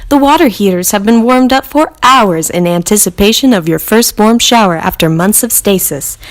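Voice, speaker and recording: A woman's voice: medium pitch, normal speed, lightly acted. Woman as a cheerful, courteous spaceship computer. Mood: cheery. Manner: bright, courteous, announcement-like. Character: cheerful polite spaceship computer, chillingly unbothered. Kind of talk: scripted sci-fi character monologue.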